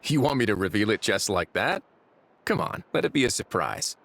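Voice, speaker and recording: An actor playing a calm, teasing prison warden with a dry manner. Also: male voice, lowish voice, moderate pace, gravelly, a little hiss or hum, exaggerated voice. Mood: wry. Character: calm prison warden man, wry and composed. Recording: game audio with music behind.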